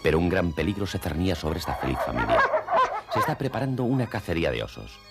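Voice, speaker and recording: A male actor as the solemn storyteller of a children's cartoon, narrating from a script in a deep, gravelly voice. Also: expressive, fast talker, loud music behind the voice. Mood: ominous, inviting.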